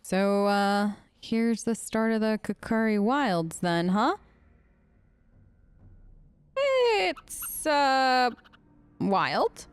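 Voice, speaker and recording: A woman's voice: fairly high pitch, big pitch swings, extremely slow, pauses often, smooth, dry recording. A woman role-playing in a video game let's play, casual and wry with a hesitant, improvised delivery.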